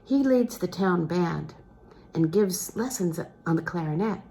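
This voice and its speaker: A woman's voice: fairly low pitch, animated, measured pace, creaky, warm tone, slight background noise, rough recording. A woman voicing a shy young small-town music student in a novel reading, modest and hesitant. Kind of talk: storybook character reading from a literary novel.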